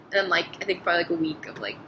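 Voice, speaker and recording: A woman's voice: lowish voice, expressive, very fast, background noise. A young woman from New Mexico answering an interview casually, saying "like" a lot. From New Mexico, USA. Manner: chatty, lots of "like".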